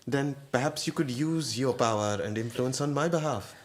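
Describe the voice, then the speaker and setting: Radio drama role: embittered moneylender, played by a man, mid-pitched, coolly polite and threatening, faint noise on the recording. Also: lightly acted. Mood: sardonic pressure. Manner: deliberate, insinuating, formally polite menace. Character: embittered, menacing Indian moneylender and lowly clerk, a father.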